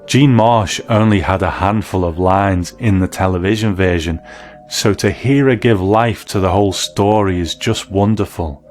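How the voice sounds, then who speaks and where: A man's voice: low-pitched, moderate pace, a little mellow, faint music behind. A man hosting a documentary, explaining things in a mix of conversation and narration. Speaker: host presenting a documentary. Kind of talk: interview chat and explanatory narration.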